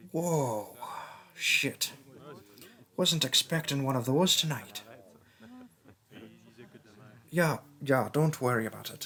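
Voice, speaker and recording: A man's voice: medium pitch, a bit bright. Man playing a friendly, chatty young college mage. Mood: startled, sheepish. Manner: casual, rambling, hesitant, jokey. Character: friendly, chatty young male mage student hosting a party. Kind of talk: roleplay audio drama spoken to the listener.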